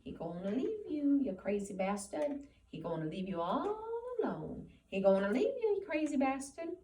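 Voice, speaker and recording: A woman's voice: a little high, sing-song, moderate pace, warm tone, roomy sound, recorded from a distance. A woman reading aloud and voicing a gigantic imaginary rabbit that taunts in a scornful rural drawl. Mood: taunting.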